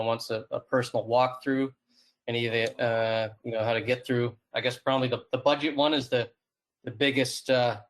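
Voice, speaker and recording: A man's voice: medium pitch, rough recording. A man with a Canadian accent, a presenter offering help on a video call in a hesitant, obliging way.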